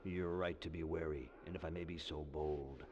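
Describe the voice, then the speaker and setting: An extremely deep, deferential attendant from a horror game, full of quiet menace. The audio is dull, with loud noise and clicks. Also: male voice, fairly even intonation, normal speed. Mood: ominous, deferential. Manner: deferential, ominous. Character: ominous, deferential asylum attendant. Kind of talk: scripted video game drama.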